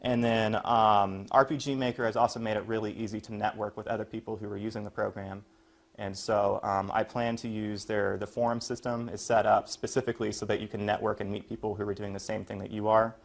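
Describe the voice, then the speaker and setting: A man's voice: fairly low pitch, little pitch movement, a little fast, gravelly. A young American man giving an informal explanatory presentation as a college student. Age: young adult.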